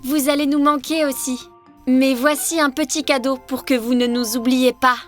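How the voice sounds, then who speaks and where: A woman voicing a gentle, gracious girl from an underwater city in a children's cartoon, speaking earnestly. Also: high-pitched, fairly even intonation, normal speed, soft background music, natural voice. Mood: pleased.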